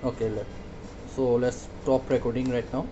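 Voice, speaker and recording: A man demonstrating a product casually, hesitant and halting, often repeating his words. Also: medium pitch, level tone, mellow, muffled recording, slight background noise. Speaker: product reviewer.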